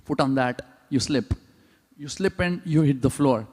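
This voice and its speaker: A man's voice: medium pitch, measured pace, darkish tone. A man giving a devotional class at a temple, often checking in with his listeners with a questioning "huh?". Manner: engaging, asks the audience "huh?" often. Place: temple hall. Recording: long hall reverb.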